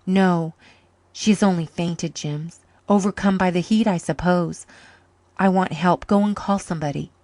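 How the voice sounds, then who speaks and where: A woman's voice: on the low side, normal speed, darkish tone, no echo, natural voice. A grave doctor, stern and earnest yet tender underneath, as read by a woman narrator. His lines are lifted only a little above her usual reading voice. The sound is close and dry. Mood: urgent. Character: grave doctor uncle, a grown man, stern but tender.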